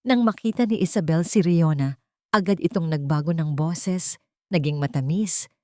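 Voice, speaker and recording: Low-pitched woman narrating a dramatic story. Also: moderate pace, warm tone, dry recording, studio quality.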